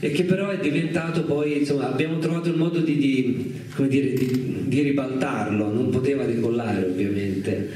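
A man's voice: mid-range voice, normal speed, hardly any pauses, dark tone. A man giving an informal, unscripted talk as a guest filmmaker, hesitant and reflective as he searches for words. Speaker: guest speaker, documentary filmmaker. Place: echoing indoor live radio event. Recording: echoey room, distant mic, faint noise.

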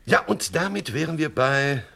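An actor playing a suave, jovial gang leader in a radio crime comedy, genteel and wordy, with chuckles and mock politeness. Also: male voice, fairly high pitch, animated, normal speed, creaky, fairly bright tone, breathy edge, exaggerated voice. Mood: amused, mock-courteous. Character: jovial, suave male crime boss running a front agency. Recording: faint noise on 1970s radio production.

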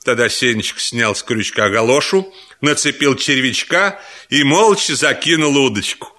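A man narrating a humorous first-person story in an animated, playful, conversational way. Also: mid-range voice, moderate pace.

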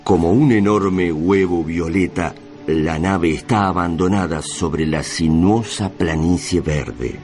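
Deep-voiced, solemn male radio drama narrator. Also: a little mellow. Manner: solemn, measured, literary. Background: music.

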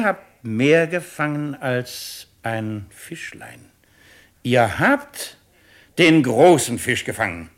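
An imperious, courtly magistrate in old radio drama style. His mid-pitched voice swings widely as he declaims with formal, emphatic irony. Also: a bit bright. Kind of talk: 1950s German radio play acting.

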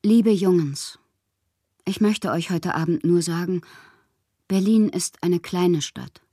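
An actress in a radio drama playing a lonely, whimsical bohemian woman writer, intimate and wistful, with a husky, breathy voice that often drops to a whisper. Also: on the low side, slow pace, mellow, dry recording, clean studio sound. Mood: whimsical, anxious. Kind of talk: literary radio monologue.

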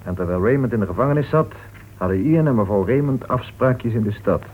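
An actor playing a cool, self-assured male crime novelist in a radio detective drama, explaining things in a measured way. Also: mid-range voice, lively intonation, a little hiss or hum, natural voice. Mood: confiding, knowing. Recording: thin, tinny old radio recording.

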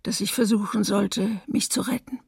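An actress playing a grave woman survivor giving measured testimony in a documentary radio play. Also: medium pitch, fairly even intonation, creaky, slightly rough, no room sound, clean studio sound. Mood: grave, restrained.

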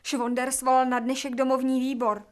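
An actress playing a dutiful, nervous young maid in a radio drama, answering in quick, hushed replies. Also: female voice, sits high, little pitch movement, close to an everyday voice.

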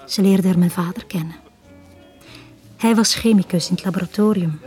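A woman's voice: lowish voice, moderate pace, darkish tone, slightly rough, faint music behind. An actress playing a wistful woman confiding her past in a radio drama, with a Flemish accent and an intimate, near-whispering delivery. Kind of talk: 1980s Dutch-language radio drama acting.

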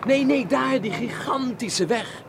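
Beleefde, naïeve jonge Nederlander, ruizige opname. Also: male voice, very high voice, takes it slowly, heavily acted. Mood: wide-eyed, amazed. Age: young adult. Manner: formal, hesitant. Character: polite, naive young Dutch man new in Berlin. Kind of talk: radio drama acting. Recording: noisy radio play recording.

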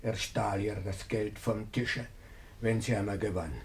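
An actor playing a fretful, devoted old Russian manservant in a German radio play, deferential and lamenting. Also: male voice, fairly low pitch, steady tone, measured pace. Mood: anxious, lamenting.

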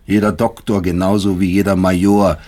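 An actor playing a bitter, brooding middle-aged doctor and professor in a German radio drama, speaking deliberately and rhetorically with sardonic emphasis. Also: male voice, sits low, little pitch movement, mellow, lightly acted. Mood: accusing, emphatic. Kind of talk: German radio drama acting, dialogue and inner monologue.

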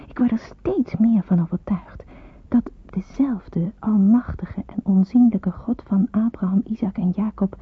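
Hushed, husky, pensive young woman. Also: mid-range voice. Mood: pensive, reverent. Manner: hushed, slow, breathy reflection. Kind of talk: radio drama monologue, diary-like narration. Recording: muffled roomy phone-like old radio recording.

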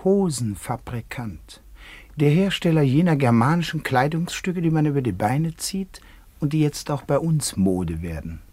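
An actor playing a formal, deferential imperial valet in a radio play, announcing in a measured way. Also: male voice, fairly high pitch, expressive, a little mellow, slightly rough. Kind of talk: German radio play acting.

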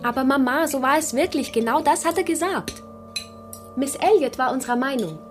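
An actress playing an eager, artless young upper-class daughter, earnest and chatty, in a literary radio drama. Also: female voice, high voice, lively intonation, a little fast, soft background music.

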